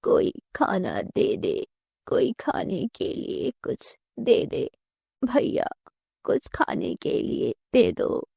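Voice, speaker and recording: Frail old granny beggar, very husky, slow, tender, pleading, cartoon storybook style. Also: female voice, medium pitch, huge pitch swings, mellow, dry recording, studio quality, exaggerated voice. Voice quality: breathy, raspy whisper.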